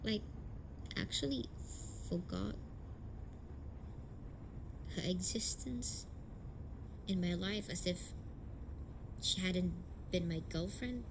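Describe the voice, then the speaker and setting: A young woman playing a blunt, defensive tsundere delinquent girlfriend in an amateur romantic audio roleplay, speaking haltingly and swearing. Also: fairly low pitch, noisy background. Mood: flustered, dismissive. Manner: hesitant, halting, foul-mouthed, defensive.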